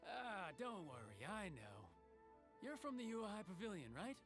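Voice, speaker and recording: A man's voice: high-pitched, a little slow, over music, heavily acted. An actor playing a friendly, blunt old carpenter in a video game, chuckling as he talks. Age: older. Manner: chuckling, lively rise and fall. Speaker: game character.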